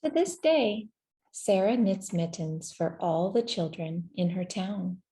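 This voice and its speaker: A woman reading a children's story aloud in a gentle, measured way, with deliberate pauses between phrases. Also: on the low side, expressive. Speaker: storybook reader. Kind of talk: scripted children's story narration, read aloud.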